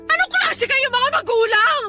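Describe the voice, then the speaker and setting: A woman's voice: very high-pitched, animated, normal speed, pushed and bright, faint music behind, exaggerated voice. A woman playing a hurt, resentful grown daughter and breadwinner in a radio drama, emotional and reproachful, with a Filipino accent. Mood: indignant.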